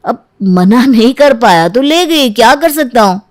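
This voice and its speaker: A woman voicing an affectionate but jealous and sarcastic young husband in an animated story. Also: fairly high pitch, measured pace. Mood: sheepish, defensive. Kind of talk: animated story dialogue in Hindi.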